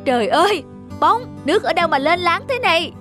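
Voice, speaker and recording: A woman voicing a young bachelor uncle, flustered and quick to scold his niece, in a cartoon. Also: extremely high pitch, very animated, pushed and bright, full character voice. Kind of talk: cartoon voice acting. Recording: music underneath.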